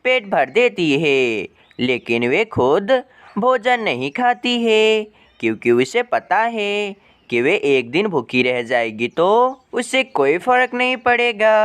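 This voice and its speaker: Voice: medium pitch, fairly bright tone, clear, resonant tone. A narrator reading scripted children's stories about birds. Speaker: story narrator.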